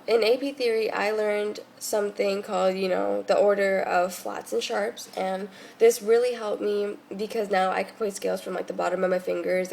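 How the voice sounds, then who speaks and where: A woman's voice: mid-range voice, vocal fry, darkish tone, slight background noise. A young woman, a music student, giving a casual tutorial while congested from a cold, talking in a chatty way with many fillers.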